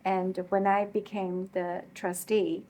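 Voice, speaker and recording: Woman host, mid-pitched, affirming. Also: level tone, slow, mellow. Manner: affirming, frequent listening murmurs. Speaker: interview host, chamber of commerce. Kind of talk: conversational interview.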